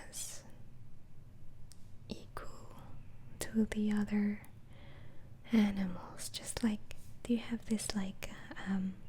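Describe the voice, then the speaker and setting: Very slow whispering woman, ASMR doctor role-play. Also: mid-range voice, a little hiss or hum, low-quality recording. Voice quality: soft, breathy whisper. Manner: halting, gentle, murmured questioning with pauses. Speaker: ASMR performer role-playing an interviewing doctor.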